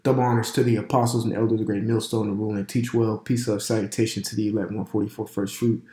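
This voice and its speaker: A man's voice: lowish voice, level tone, quick pace, mellow. A man with a stuffy nose from a cold, giving a casual video lesson as a religious language teacher, talking loosely with many fillers and restarts. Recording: echoey room.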